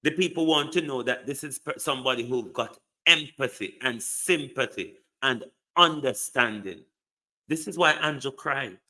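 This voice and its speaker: A man's voice: medium pitch, expressive, normal speed, bright, forceful tone. A man giving an emphatic political commentary monologue, full of repeated rhetorical questions. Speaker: online commentator.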